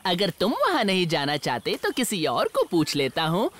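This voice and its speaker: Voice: mid-range voice, huge pitch swings, a bit bright, slight background noise. An actor voicing a sly, wheedling male jackal who coaxes in a cartoon dub. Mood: coaxing. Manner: coaxing, sly, drawn-out delivery.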